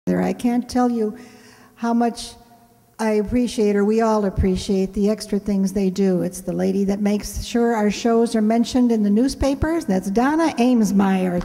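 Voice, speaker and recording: A woman's voice: medium pitch, mellow. A woman hosting a show, making chatty, folksy, joking announcements to an audience in a casual way. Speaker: radio play director and show host. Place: indoor hall, live stage event. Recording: reverberant hall.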